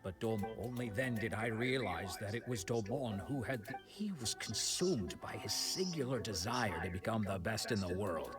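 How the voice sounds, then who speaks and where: A man's voice: lowish voice, normal speed, few pauses, over music, close to an everyday voice. An actor playing a proud, embittered swordsman in a game, speaking in a formal, guarded, brooding way. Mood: guarded, resentful. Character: proud, embittered swordsman recalling an old rival. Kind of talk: dramatic game dialogue.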